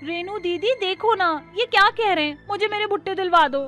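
A woman's voice: very high-pitched, fairly quick, bright, forceful tone, faint music behind, pitched above the performer's own voice. A woman voicing a whining ten-year-old girl who begs and complains in a cartoon. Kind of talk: cartoon dialogue.

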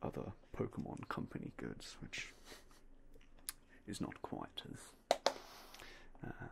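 A young man whispering softly and politely as a game shop clerk in an ASMR roleplay, close to a binaural mic. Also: slow, heavy background noise. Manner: quiet, whispery, polite shopkeeper patter.

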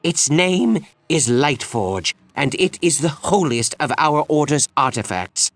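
An actor playing a grave, anguished village priest in a video game, speaking in a solemn, theatrical, old-fashioned way. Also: male voice, on the high side, lively intonation, a little slow, no echo. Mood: solemn, grateful. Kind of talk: dramatic video game dialogue.

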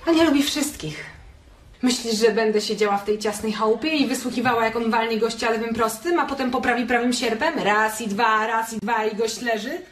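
An actress playing a bored, lonely wife in a radio drama, brash and mocking, with a provocative edge. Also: female voice, on the high side, brisk, natural voice. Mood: bitter complaint. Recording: roomy, noisy radio play recording.

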